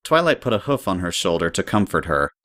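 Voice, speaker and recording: Very deep-voiced story narrator, dry sound. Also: sing-song, a little fast, a bit bright, no room sound.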